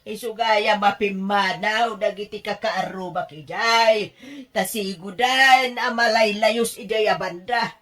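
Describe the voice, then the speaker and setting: Bright, dramatic Ilocano mother played by an actress. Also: medium pitch, measured pace, few pauses, close to an everyday voice. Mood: regretful, complaining. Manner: expressive, exclamatory, laughs easily. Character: excitable middle-aged mother, chatty, fretful, dramatic. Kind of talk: Ilocano radio drama acting.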